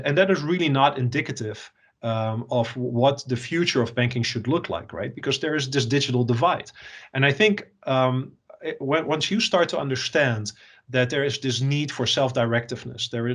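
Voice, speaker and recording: A man from the Netherlands with a Dutch accent, an executive answering interview questions and often pausing with fillers and repeated words. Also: medium pitch, a bit bright. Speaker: software company executive.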